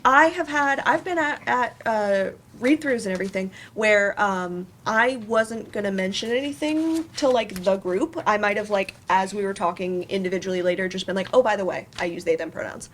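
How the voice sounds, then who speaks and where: A woman's voice: medium pitch, moderate pace, hardly any pauses, a little hiss or hum. A woman on a theater panel telling a personal story informally, acting out what people said as she retells it. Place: indoor library event room.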